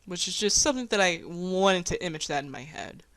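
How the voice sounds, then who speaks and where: A woman's voice: lowish voice, animated, fairly quick, a bit bright, no echo. A woman chatting casually among fellow hobby voice actors. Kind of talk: casual chat.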